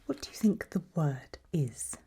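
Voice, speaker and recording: Female ASMR doctor: breathy whisper and soft low talk, slow, patient and reassuring. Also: big pitch swings. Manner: gentle, unhurried, encouraging, patient. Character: calm, reassuring doctor running a creepy memory test. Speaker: ASMR performer playing a doctor giving a memory test.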